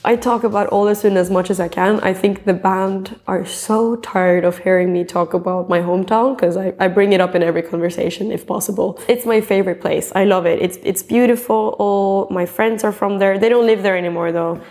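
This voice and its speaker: A woman in her twenties from Norway, a singer-songwriter talking in a relaxed interview, earnest and chatty, with fillers and restarts. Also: mid-range voice, a little fast, few pauses. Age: 20s. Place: dim indoor room, seated in an armchair.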